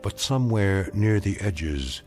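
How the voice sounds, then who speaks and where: An actor playing a knowing, measured narrator of eerie tales in a radio drama, with deliberate dramatic pauses. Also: male voice, fairly low pitch, expressive. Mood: measured, ominous. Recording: music under the voice. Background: music.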